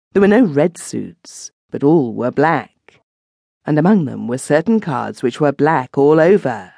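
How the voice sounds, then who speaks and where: A man reads a classic ghost story as an audiobook narrator, in a measured, literary storytelling manner. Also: a little high, creaky, a little mellow, no room sound, clean studio sound.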